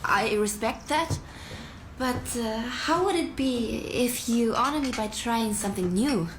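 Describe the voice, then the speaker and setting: An actress playing a courteous young European princess in a period film, speaking politely and earnestly with some hesitation. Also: female voice, a little high, lively intonation, unhurried, noisy background. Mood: earnest, gently insistent. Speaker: film actress in a leading part.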